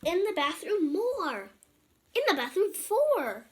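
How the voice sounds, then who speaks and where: A woman's voice: extremely high pitch, very animated, slow. A young girl reading a picture book aloud, breaking off for informal, chatty asides to other children watching. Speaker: storybook reader and channel presenter.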